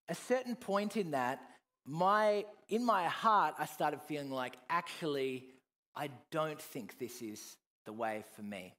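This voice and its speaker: Man, somewhat high voice, earnest testimony sermon, emphatic then hushed. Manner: earnest, swings from loud emphasis to soft, low reflection. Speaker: preacher giving his testimony.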